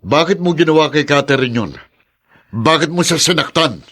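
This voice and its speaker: An actor playing a conflicted, unfaithful husband in a radio drama, confused at times and accusing at others. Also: male voice, on the high side, lively intonation, moderate pace, fairly bright tone. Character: torn, cheating husband, adult man.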